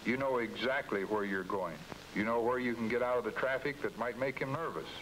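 A man's voice: fairly low pitch, fairly bright tone, rough recording. Middle aged american guy doing 50s sales training narration, folksy and direct, bit of hiss on old film. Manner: folksy, direct second-person coaching. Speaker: company executive presenting a dealer training film. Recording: hissy 1950s film soundtrack.

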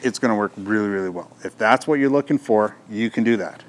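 A man's voice: lowish voice, brisk. A middle-aged man presenting a product in an informal, unscripted, explanatory way. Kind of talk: unscripted product demonstration talk. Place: indoor audio gear shop, at a counter.

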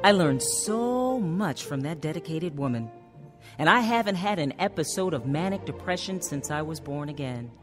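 Earnest born again woman telling her story on a radio drama, expressive pitch, music under it. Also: moderate pace. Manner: earnest, heartfelt. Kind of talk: radio drama narration and dialogue.

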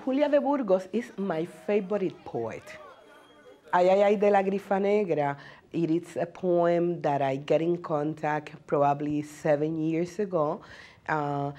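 A woman in her forties with a Puerto Rican accent, a native Spanish speaker and teacher, talking earnestly about herself in a measured way. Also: lowish voice, dark tone. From Puerto Rico. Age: 40s. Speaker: bilingual special education teacher. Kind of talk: personal interview testimony.

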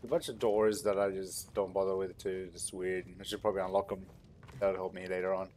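A man's voice: low-pitched, flat delivery, a little fast, a little mellow. Male gaming host, casual and rambling, thinks aloud, some game noise behind him. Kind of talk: casual gameplay commentary. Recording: clear background noise, game sound. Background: video game ambience.

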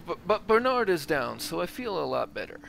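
A man's voice: on the high side, big pitch swings, a little fast, creaky, a bit bright, a little hiss or hum. A man giving casual gameplay commentary, thinking aloud as he works out his decisions. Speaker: gamer, host of a let's play.

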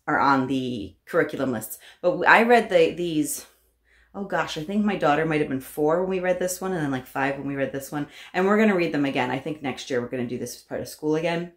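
Low-pitched woman in an echoey room. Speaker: homeschooling parent vlogger. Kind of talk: casual talk to camera, recommending books. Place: small room at home, indoors. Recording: roomy indoor echo.

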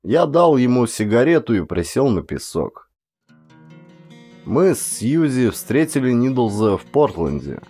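A man reading a story as an audiobook narrator, in an expressive, dramatic storytelling manner. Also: mid-range voice, a little slow, husky, faint music behind.

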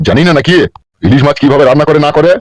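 Guy doing a loudmouth village husband, cartoon, talks super fast, noisy recording. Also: on the high side, close to an everyday voice. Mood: scolding, boastful. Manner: blustering, scolding, crude. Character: hot-tempered, boastful, foul-mouthed village husband and father. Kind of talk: comic cartoon dialogue. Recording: noisy amateur voice track with clicks.

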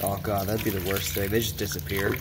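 A young man chatting casually in a garage, explaining his repair plan in a relaxed, matter-of-fact way. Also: fairly low pitch, a little fast, heavy background noise. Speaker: garage vlogger. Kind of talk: casual chat while working. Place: shop and open garage bay. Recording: music under voice.

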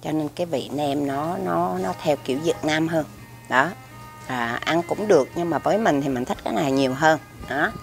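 A woman's voice: low-pitched, moderate pace, gravelly, soft background music. A middle-aged woman with a Southern Vietnamese accent chats casually to the camera at a restaurant table, filling her talk with fillers and repeated words. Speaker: food vlogger. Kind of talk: casual food review to camera. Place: restaurant table by a window, indoors. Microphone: camera across the table.

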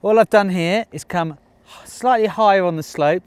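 A man in his thirties teaches skiing out on a snowy slope, his voice sitting a little high. He explains plainly and upbeat, with the odd dry joke, into a clip-on mic. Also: normal speed, faint music behind. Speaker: ski instructor. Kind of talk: on-slope ski lesson. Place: outdoors on a snowy ski slope.

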